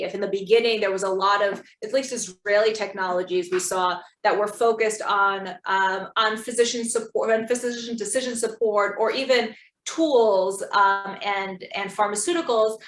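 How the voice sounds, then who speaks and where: A woman acts as moderator of an online panel, speaking in a measured and polite conversational style. Her mid-pitched voice comes with a noticeably roomy echo. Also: a little hiss or hum. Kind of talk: moderating a webinar discussion. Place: indoor room, online webinar. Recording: roomy, echoing webinar audio.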